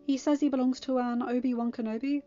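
A woman voicing an eager, impulsive teenage farm boy in an earnest, excitable manner for a story reading. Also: high-pitched, fast talker, pitched above the performer's own voice, lightly acted. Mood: curious, eager. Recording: dull lo-fi recording with music behind.

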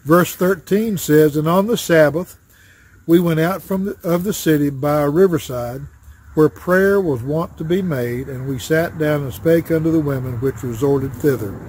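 An older man gives a Sunday school lesson outside in a wooded yard, filmed at chest height. His voice sits slightly high with a husky, breathy edge, and his teaching is plain and folksy, full of small pauses. Crows caw now and then in the background. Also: darkish tone, low-quality recording. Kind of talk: Bible study lesson. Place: outdoors in a wooded backyard. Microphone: webcam or phone framed at chest height. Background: crows cawing.

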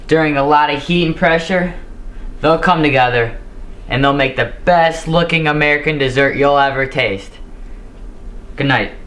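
A man's voice: a little high, a bit bright. Teen boy hosting a pretend cooking show. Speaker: host of a mock cooking show. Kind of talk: scripted mock cooking demonstration. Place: home kitchen, indoors. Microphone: camera mic, a few steps away.

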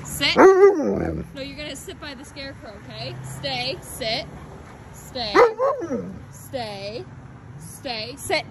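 A woman's voice: high voice, expressive, slow pace, long pauses, a loud crowd. A woman filming and coaxing her dog in casual baby-talk, at home and out on a street. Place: home then outdoor street market. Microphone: handheld phone camera.

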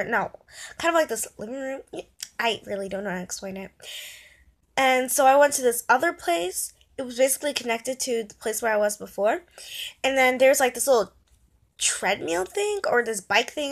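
A young girl, a child or young teen, chatting casually and telling a story in an animated, dramatic way into a phone held at arm's length. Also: high voice. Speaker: vlogger. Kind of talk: casual storytime vlog chat.

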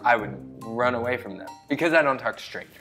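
A man's voice: medium pitch, fast, bright, forceful tone, soft background music. An American man in his thirties hosting a children's read-aloud show, bantering comically in an exaggerated, silly way. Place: small bright indoor studio set.

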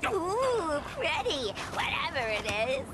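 An actress playing a bubbly, scatterbrained young female jester villain with a squeaky, chirpy voice. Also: very animated, slow pace, heavy background noise, exaggerated voice. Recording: game audio over loud music.

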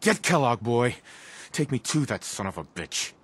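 A man's voice: mid-range voice, very animated, normal speed, a bit bright. An actor with an American accent playing a tough, sardonic male survivor in a video game, speaking tersely. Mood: determined. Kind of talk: video game protagonist dialogue. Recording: game music clearly behind the voice.

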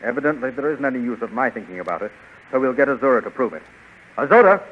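A quick-talking young space hero played by a man swings widely in pitch as he pleads. He is earnest and melodramatic, on a muffled 1930s radio recording. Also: lively intonation, natural voice. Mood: defensive, insistent. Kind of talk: 1930s radio serial acting.

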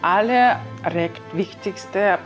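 Kazakh-accented woman, halting speech. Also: mid-range voice, soft background music. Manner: halting, searching for words with many fillers. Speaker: opera singer, guest. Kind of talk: interview answers in a second language. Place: ornate sitting room indoors. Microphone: lapel mic.

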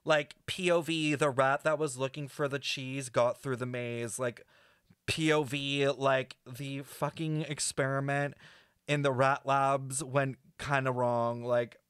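A young man streaming his reactions, close on the mic with a dry sound. His voice is mid-pitched and his delivery is campy and theatrical, full of deadpan exaggeration. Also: slow, clean tone, no echo. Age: young adult. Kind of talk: casual reaction commentary. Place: small indoor streaming room.

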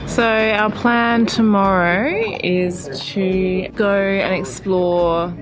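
A woman in her thirties, a sailing vlogger, talking casually and plainly to her viewers outdoors. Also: lowish voice. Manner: friendly, explains plainly to camera. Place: outdoors by the sea.

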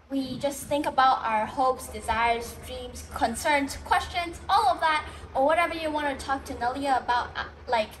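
Energetic teenage girl from Hong Kong. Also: high voice, slight background noise, rough recording. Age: teens. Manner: energetic, chatty with many "like" fillers. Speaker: student presenter. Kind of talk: school project presentation. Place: indoor room and school corridor. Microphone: phone camera at arm's length.

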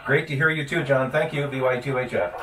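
A man's voice: medium pitch, a little fast. A man, giving casual explanatory commentary and radio call-outs in a home office. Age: 60s. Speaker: amateur radio operator, vlogger. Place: small home office, indoors. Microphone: camera a short distance away. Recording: noisy room, mic some distance away.

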